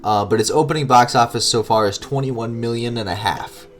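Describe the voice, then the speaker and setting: A man's voice: mid-range voice, brisk, slight background noise. Nasal, congested man hosting a podcast. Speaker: podcast co-host. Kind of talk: casual podcast chat.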